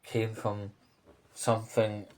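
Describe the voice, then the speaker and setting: Man, Glaswegian accent, unhurried pace, little pitch movement, earnest, halting, informal vlog update. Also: monotone, very noisy. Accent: Scottish, Glasgow area. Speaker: vlogger. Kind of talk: informal spoken news update to camera. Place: home kitchen.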